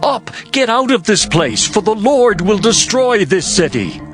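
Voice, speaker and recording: An anxious man of ancient times, begging emphatically in archaic English. His high voice rises and falls widely, with clear music underneath, as in a Bible audio drama. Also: big pitch swings, a little slow. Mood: urgent. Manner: imploring, fearful, emphatic. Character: pleading, anxious biblical householder Lot. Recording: loud background music under the voice.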